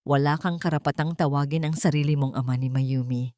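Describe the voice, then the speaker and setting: A woman's voice: deep voice, fairly even intonation, brisk, mellow, no room sound, clean studio sound, pitched below the performer's own voice, lightly acted. A woman with a Filipino accent voicing a hurt, determined mother in a story, bitter and trembling but firm. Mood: bitter, defiant. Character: determined, hurt young mother protecting her daughter.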